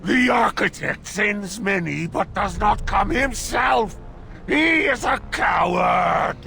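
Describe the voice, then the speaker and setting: A man voicing a fanatical, vengeful monster in a video game, with a gravelly voice and threatening, declamatory delivery. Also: sits high, animated, slow pace, pushed and bright, raised voice, a little hiss or hum, full character voice. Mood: menacing, wrathful. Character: menacing fanatical monster, vengeful servant of a dark mother.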